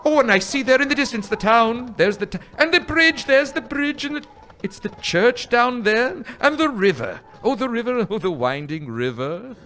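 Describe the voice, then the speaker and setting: Stammering, swooping old miser. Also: male voice, very high voice, normal speed, exaggerated voice. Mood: excited, delighted. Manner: stammering, repeats words, excitable. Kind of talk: live radio play acting. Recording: live stage recording with background noise.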